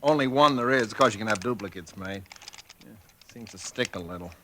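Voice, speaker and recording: Man doing a folksy old-timey agent showing a house, lively salesy patter, music behind. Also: lowish voice, a little fast, background noise, lightly acted. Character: folksy small-town real estate agent. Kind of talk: 1940s radio acting.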